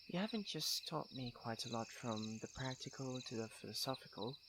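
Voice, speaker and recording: Guy doing a young tsundere prince for asmr roleplay, posh formal wording, proud but soft hearted, crickets chirping over it. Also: mid-range voice, lightly acted. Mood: sincere, teasing. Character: young tsundere prince, haughty yet tender-hearted childhood friend. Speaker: roleplay voice actor. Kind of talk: ASMR roleplay acting, intimate monologue to the listener. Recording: clear ambient noise with chirping crickets. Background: night crickets and insects.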